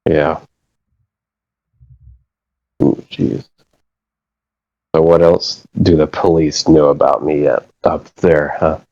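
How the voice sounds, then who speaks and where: A man from the United States, a guest on a casual phone call, talking in a hesitant, halting way. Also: very deep voice, level tone, dark tone, no echo.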